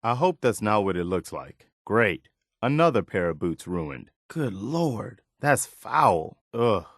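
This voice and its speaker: Earnest wasteland militia companion for a video game, lowish voice, dry close recording. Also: male voice, sing-song, no echo, heavily acted. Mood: uneasy, disgusted. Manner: earnest, measured, conversational. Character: earnest, wary militia man in a post-apocalyptic wasteland. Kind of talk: game voice acting, short spoken reactions.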